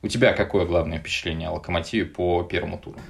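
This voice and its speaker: Deep-voiced man hosting a football podcast. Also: fairly even intonation, racing, creaky. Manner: hesitant, many "э" fillers. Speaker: podcast host. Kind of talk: casual football analysis chat.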